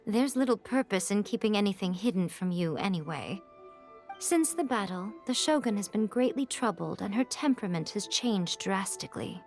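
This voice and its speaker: An actress playing a sly, playful shrine priestess with a teasing, arch manner. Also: female voice, mid-range voice, expressive, normal speed, soft background music, natural voice. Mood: confiding. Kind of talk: video game voice acting.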